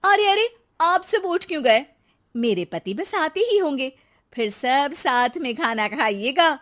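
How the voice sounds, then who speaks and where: A woman's voice: sits high, big pitch swings, measured pace, a bit bright, voice pushed up, exaggerated voice. A woman voicing a clever, fed-up young housewife in a cartoon, sounding sweetly sly. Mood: sly coaxing. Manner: pleading, then sweetly sly. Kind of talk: cartoon voice acting.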